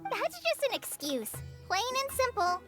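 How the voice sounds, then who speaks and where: Squeaky, very high childlike fairy. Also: female voice, very animated, measured pace, over loud music, exaggerated voice. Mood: teasing. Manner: exclamatory, teasing. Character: small, chirpy, cheeky fairy companion girl. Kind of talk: anime game dub acting.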